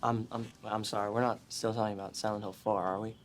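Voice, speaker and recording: An actor playing an awkward, polite young gamer in a live-action horror film, speaking in a hesitant, understated way. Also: male voice, on the low side, flat delivery, fast talker, a little hiss or hum, natural voice. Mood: wry.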